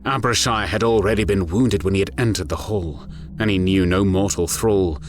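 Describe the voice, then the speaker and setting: A man narrating a dramatic scripted story in a grave, deliberate manner. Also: deep voice, a little hiss or hum.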